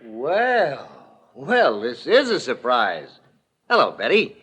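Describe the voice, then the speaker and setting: An actor playing a cool, amused murder suspect in a 1940s radio drama, smooth-talking, teasing and sardonic. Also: male voice, mid-range voice, huge pitch swings, takes it slowly, fairly bright tone, full character voice. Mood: amused, evasive.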